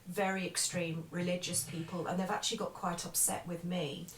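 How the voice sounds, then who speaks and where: Echoey, lowish-voiced woman interviewer. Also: level tone, normal speed, a loud crowd. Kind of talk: casual interview chat. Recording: echoey, distant, voices behind.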